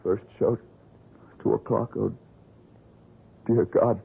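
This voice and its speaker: A man's voice: on the low side, steady tone, takes it slowly, gravelly, slightly rough, heavily acted. A man playing a distraught, indignant young man in a 1970s radio drama, with urgent, demanding delivery. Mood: anxious, urgent. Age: young adult. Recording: dull, narrow old radio broadcast.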